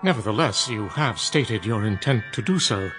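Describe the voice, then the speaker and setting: A man's voice: fairly low pitch, lively intonation, normal speed, a bit bright, faint music behind. A man voicing a formal, deferential robot butler in a radio drama. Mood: defiant. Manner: polite, precise, deferential. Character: formal robot butler, male, dutiful and stubborn.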